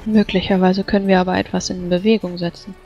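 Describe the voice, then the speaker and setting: A woman's voice: on the low side, level tone, fast, mellow, faint music behind. Female role-player, level delivery, careful phrasing, slightly muffled recording, improvised in-character talk. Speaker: tabletop role-playing player. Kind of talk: improvised in-character dialogue in a tabletop game.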